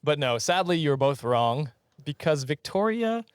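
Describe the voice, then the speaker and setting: A man's voice: fairly high pitch, very animated, normal speed, clean tone, dry recording. A man hosting a podcast in casual, comedic chat, joking and chuckling as he goes. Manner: jokey, chuckling often. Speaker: podcast host.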